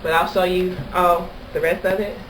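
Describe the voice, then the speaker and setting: A woman's voice: fairly low pitch, flat delivery, moderate pace, a little hiss or hum, low-quality recording. A woman from Kentucky with an American accent, chatting casually and anecdotally to camera as a vlogger. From Kentucky, United States.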